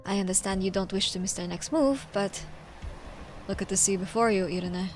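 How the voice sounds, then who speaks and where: Old male inquisitor, read by a woman in her everyday voice, solemn, oratorical game dialogue, soft music behind. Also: medium pitch, fairly even intonation, fairly quick, close to an everyday voice. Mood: reflective, grave. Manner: formal, reflective, oratorical. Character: grave, eloquent old male inquisitor saint.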